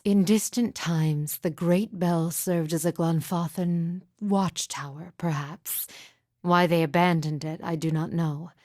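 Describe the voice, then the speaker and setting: A woman voicing a sorrowful, haunted mystic midwife in a video game, speaking in solemn, hushed, deliberate and formal phrases. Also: low-pitched, dry recording, clean studio sound. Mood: wistful, recounting. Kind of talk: video game character dialogue, poetic and measured.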